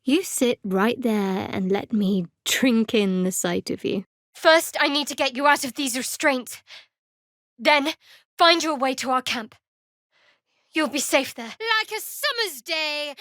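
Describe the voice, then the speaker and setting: A woman playing a guarded, sardonic young cleric of a dark goddess in a video game, with dry, clipped delivery. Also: sits high, takes it slowly, no echo. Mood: soft, measured. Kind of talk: video game character dialogue.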